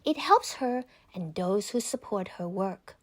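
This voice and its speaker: Expressive woman reading a storybook aloud. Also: mid-range voice, measured pace, dry recording. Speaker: children's book read-aloud narrator. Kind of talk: scripted storybook narration.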